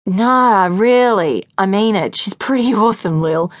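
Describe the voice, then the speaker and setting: A woman's voice: mid-range voice, measured pace, dry recording, voice pushed up, close to an everyday voice. A woman puts on an oblivious young man who rambles on casually. He sounds lightly baffled, in a dry, close sound.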